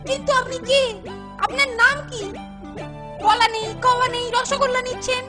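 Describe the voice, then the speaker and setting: A woman's voice: fairly even intonation, moderate pace. A woman voicing an anxious, obedient little boy with a childlike manner in a comic cartoon. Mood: alarmed, protesting. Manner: shrill, protesting, childlike. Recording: loud jingly music behind the voice.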